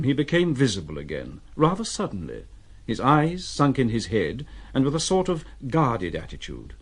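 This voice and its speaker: A man's voice: medium pitch, husky. A man narrating a literary audio drama in a theatrical, wry storytelling manner.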